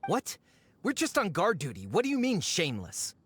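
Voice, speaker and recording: A woman's voice: on the low side, wildly swooping pitch, moderate pace, husky, full character voice. A woman playing a stern, dutiful guard in a video game, formal and challenging. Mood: baffled. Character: stern, dutiful sentry guarding hallowed grounds.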